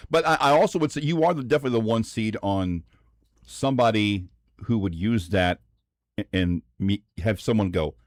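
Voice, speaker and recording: A man's voice: on the low side, lively intonation, moderate pace, pauses often, no room sound, studio quality. A man co-hosting a sports talk show, teasing the guest with wry banter. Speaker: sports radio co-host. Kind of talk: casual sports radio banter.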